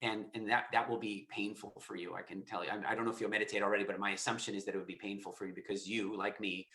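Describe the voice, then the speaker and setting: A man's voice: lowish voice, little pitch movement, rapid-fire, pushed and bright, roomy sound, low-quality recording. A man hosting a live call, answering a caller in an emphatic way, often repeating himself and restarting his sentences. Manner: emphatic, repetitive, many restarts. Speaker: host. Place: lives in Estonia.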